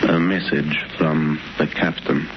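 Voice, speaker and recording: A man's voice: very deep voice, little pitch movement, unhurried. An actor playing a furtive criminal henchman in a 1930s radio drama, guarded, terse and conspiratorial. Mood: secretive, urgent. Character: furtive male henchman bearing secret criminal orders. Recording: muffled, noisy 1930s radio recording.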